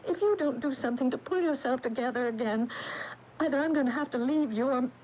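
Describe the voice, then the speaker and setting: An actress playing an exasperated American wife in a radio drama, indignant and dismissive. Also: female voice, high voice, fairly quick, natural voice. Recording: dull old AM radio, faint noise.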